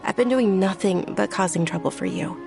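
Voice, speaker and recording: A woman voicing a gentle, frail young noblewoman in an anime video game, soft-spoken, kind and courteous. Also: mid-range voice, fairly quick, gravelly, lightly acted. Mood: wistful, reassuring. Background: game music.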